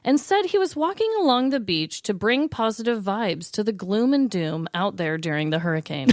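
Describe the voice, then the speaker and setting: A woman podcast host chatting casually and running a game in an animated way. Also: mid-range voice, normal speed, no room sound, clean studio sound. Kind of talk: casual banter and game hosting.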